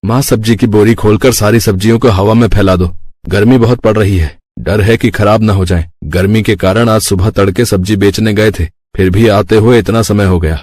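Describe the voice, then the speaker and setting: A man's voice: on the low side, fairly even intonation, moderate pace, darkish tone, natural voice. A man voicing a weary, plaintive young vegetable seller in a Hindi moral-story cartoon. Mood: worried.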